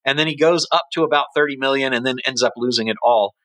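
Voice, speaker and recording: A man's voice: medium pitch, quick pace, very noisy. A middle-aged man co-hosting a casual business podcast, rambling and often restarting his sentences. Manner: rambling, self-correcting. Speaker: podcast co-host.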